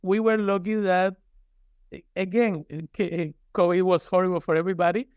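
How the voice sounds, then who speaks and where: Higher-pitched man with a Cuban accent. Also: level tone, moderate pace, a little mellow, smooth, no echo, studio quality. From Cuba. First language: Spanish. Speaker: guest, university professor. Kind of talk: conversational interview.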